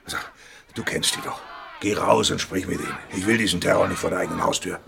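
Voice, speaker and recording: Very gravelly, hot-tempered father. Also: low voice, quick pace. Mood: irritated. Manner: gruff, commanding, explosive. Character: hot-tempered, domineering father of a grown son. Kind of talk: radio crime drama acting. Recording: noisy, with crowd outside.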